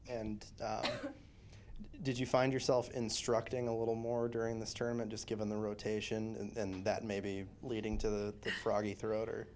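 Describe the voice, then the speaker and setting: A man reporter asking questions at a press conference, pausing hesitantly as he phrases them. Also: lowish voice, flat delivery, darkish tone, a little hiss or hum. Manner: hesitant, with many filler words.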